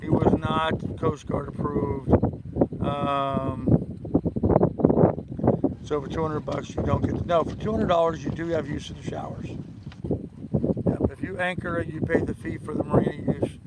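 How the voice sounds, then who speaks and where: Older man, hoarse gravelly voice, slightly high, halting casual live-stream ramble, loud wind and boat noise. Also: fairly even intonation. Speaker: live-stream host of a sailing channel.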